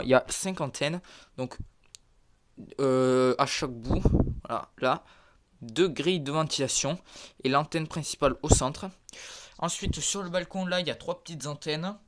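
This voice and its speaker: Stuffy-voiced man giving a halting show-and-tell. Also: a little high, background noise. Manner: halting, filler-heavy, points things out one by one. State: stuffy from a head cold. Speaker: hobbyist presenting his toy collection. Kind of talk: casual show-and-tell narration. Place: converted attic room with creaky floor. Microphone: phone camera held in hand.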